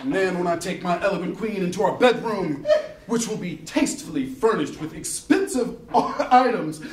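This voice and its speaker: A man's voice: sits high, animated, moderate pace, distant mic. A man giving a theatrical, emphatic comedic reading to a live audience. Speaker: guest reader at a live event. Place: crowded dim indoor bar venue. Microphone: stand microphone at a lectern. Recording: echoey, off-mic, loud laughing crowd. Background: loud audience laughter.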